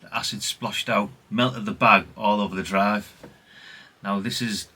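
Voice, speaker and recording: Middle-aged British male hobbyist host, forward and raspy tone, informal, wandering delivery full of self-corrections. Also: on the low side, steady tone, unhurried, bright, forceful tone. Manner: chatty, rambling, self-correcting, tag questions. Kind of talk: casual rambling show-and-tell.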